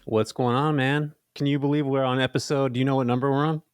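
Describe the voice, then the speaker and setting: Podcast guy, talks quick and hyped, dry close sound. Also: mid-range voice, little pitch movement, clear, resonant tone, dry recording. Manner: enthusiastic, hypes the guest. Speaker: podcast co-host. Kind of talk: casual podcast chat.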